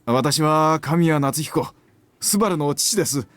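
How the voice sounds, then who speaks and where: A man's voice: fairly high pitch, a little fast, dry recording, natural voice. A man voicing an awkward, well-meaning middle-aged father with a polite, hesitant way of talking in a visual novel. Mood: polite, puzzled. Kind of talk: Japanese visual novel voice acting.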